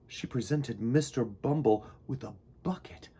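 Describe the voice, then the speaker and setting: A man hosting a children's storytime, reading and chatting to young listeners in a folksy, emphatic, expressive way. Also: mid-range voice, unhurried, vocal fry, slightly rough. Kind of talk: animated storybook narration and friendly address to children.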